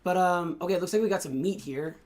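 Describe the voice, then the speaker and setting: A hoarse young man in college hosting a casual, excitable let's-play commentary with lots of fillers. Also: high voice, fast talker. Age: young adult. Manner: excitable, chatty, many fillers. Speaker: gaming channel host.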